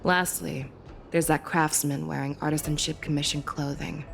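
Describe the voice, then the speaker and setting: An actress playing a shrewd, measured woman general who reasons through clues, in a game cutscene. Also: sits low, fairly even intonation, vocal fry, a little hiss or hum. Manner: analytical, measured. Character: shrewd, composed woman military leader. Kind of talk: video game cutscene voice acting.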